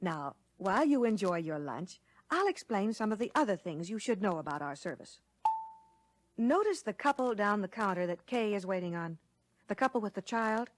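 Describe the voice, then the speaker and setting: A woman playing a courteous American lunch counter waitress, explaining service clearly in a scripted 1960 training film. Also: medium pitch, very animated, normal speed, dry recording. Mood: pleasant, instructive. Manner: courteous, instructive, clearly worded.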